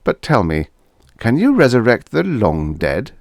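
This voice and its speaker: A man's voice: fairly low pitch, wildly swooping pitch, a little slow, no echo, clean studio sound, voice pushed up, full character voice. A man voicing a desperate, cynical young widower in a science fiction audiobook, insistent and pleading. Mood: hopeful, doubting.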